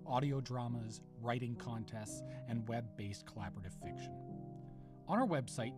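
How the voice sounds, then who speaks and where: Male producer for podcast end credits. Scripted read, animated pitch, over prominent music. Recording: clear music under the voice.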